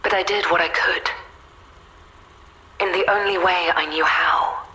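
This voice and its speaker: A woman's voice: on the low side, fairly even intonation, measured pace, sharp tone, poor audio. An actress playing a weary, self-sacrificing woman warrior in a video game, speaking solemnly and tenderly. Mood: wistful farewell. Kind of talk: dramatic video game monologue.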